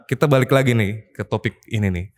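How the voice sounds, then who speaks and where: A man's voice: on the low side, fairly quick. A man hosting a podcast, playful and teasing in casual chat. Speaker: podcast host.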